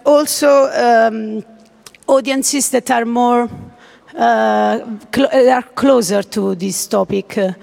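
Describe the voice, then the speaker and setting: A woman in her thirties with an Italian accent gives a hesitant, nervous conference talk, full of pauses and restarts. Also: fairly high pitch, gravelly, darkish tone, long reverb tail. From Italy. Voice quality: hesitant, many uh fillers and restarts. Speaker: conference speaker. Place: large lecture hall.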